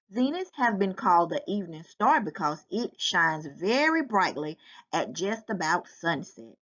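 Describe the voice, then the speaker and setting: A woman reading a nonfiction book aloud to children in a deliberate, instructive way. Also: lowish voice. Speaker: volunteer children's book reader. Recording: dull, lo-fi sound.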